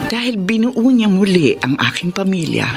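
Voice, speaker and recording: A heartfelt Filipino mother speaks with wide, dramatic swings of pitch. She is repentant and grateful, in radio drama style over clear background music. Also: big pitch swings, moderate pace. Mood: solemn, grateful. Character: repentant Filipino mother, tearful, grateful, reunited with her children. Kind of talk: emotional radio drama acting and narration.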